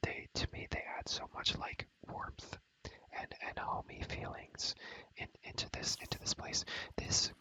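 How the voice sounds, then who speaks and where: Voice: unhurried, sharp tone, poor audio. A whispering ASMR creator giving a casual, slangy gaming tour, often repeating words and phrases in a rhythmic way. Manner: slangy, casual, mild swearing. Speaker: video creator giving a game world tour. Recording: music behind the whispering. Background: music.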